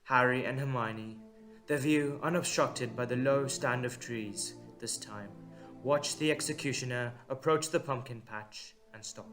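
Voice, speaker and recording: A man's voice: medium pitch, unhurried, over music. A man giving dramatic scripted narration for an audio drama.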